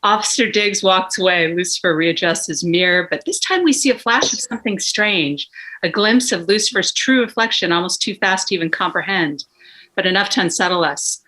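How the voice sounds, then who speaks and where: A woman's voice: on the low side, brisk, pushed and bright, low-quality recording. A woman reading scripted stage directions as a dramatic narrator at a table read.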